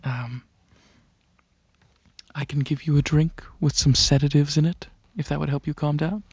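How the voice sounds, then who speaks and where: A performer of unclear sex voicing a calm, polite dragon alien researcher in a soft, whispery, gently halting ASMR roleplay, close to the microphone. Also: medium pitch, dry recording. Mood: gentle, curious. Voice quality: soft, breathy, often whispery. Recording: close, dry, slightly muffled recording.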